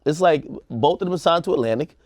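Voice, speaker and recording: A man's voice: fairly high pitch, expressive, brisk, a little mellow, dry recording, studio quality. A man co-hosting a music news show, talking in a casual, slangy way as he thinks aloud. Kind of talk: casual panel discussion, slangy commentary.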